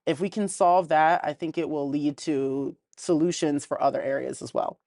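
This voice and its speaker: A woman's voice: low voice, steady tone, normal speed, darkish tone, no echo, studio quality. A young woman from Wilmington, Delaware, a community organizer talking earnestly in a casual interview and laughing often.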